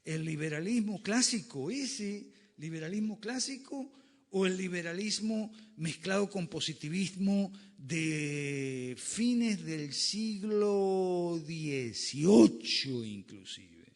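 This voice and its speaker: A man's voice: high voice, expressive, slow. A man giving a classroom lecture with slides, emphatic and fond of rhetorical questions. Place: lecture room. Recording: reverberant lecture hall.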